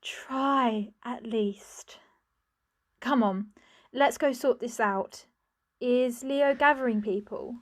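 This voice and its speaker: A woman's voice: fairly high pitch, slow pace. A woman playing a prince's spirited, encouraging companion in an audio drama.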